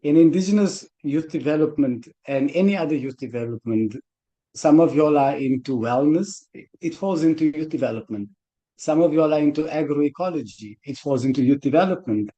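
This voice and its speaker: A man's voice: fairly high pitch, expressive, moderate pace, warm tone, muffled recording. A South African man speaking in an earnest, loose, conversational way as an organizer at an online conference, heard over a video call. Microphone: computer mic on a video call.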